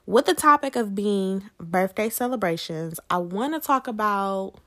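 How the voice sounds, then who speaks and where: Woman podcast host just chatting away, medium pitch, conversational, tosses questions out to listeners. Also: expressive, a little slow, fairly bright tone. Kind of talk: casual solo podcast chat.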